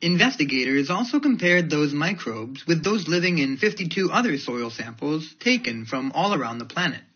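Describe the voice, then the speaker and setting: A man reading academic passages aloud in a careful, deliberate way. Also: on the high side, sing-song, fairly bright tone, breathy edge. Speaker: reader of English test practice passages. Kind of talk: read-aloud of academic texts. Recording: dull lo-fi audio.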